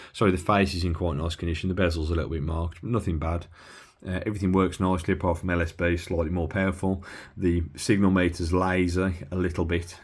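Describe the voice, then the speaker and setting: A man's voice: low voice, a little mellow. A man giving a casual, unscripted technical demonstration as a hobbyist, explaining things in a hesitant, matter-of-fact way. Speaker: hobbyist demonstrating a used CB radio.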